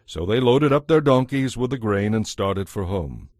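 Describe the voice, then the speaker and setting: Low-pitched male Bible narrator, dry sound. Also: animated, normal speed, a little mellow, dry recording.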